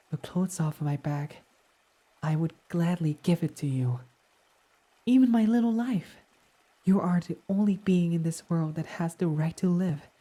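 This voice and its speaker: A man voicing a devoted, submissive fox boy servant in an intimate ASMR roleplay, reverent, hesitant and breathy. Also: a little high. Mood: fervent devotion. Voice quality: soft, breathy. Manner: reverent, self-deprecating, hesitant, breathy half-whispers.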